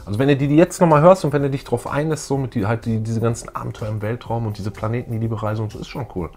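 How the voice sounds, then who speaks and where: A man's voice: mid-range voice, fairly even intonation, rapid-fire, darkish tone. A middle-aged man, chatting casually as a co-host in a fan show. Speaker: YouTube co-host. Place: small room full of collectibles, indoors.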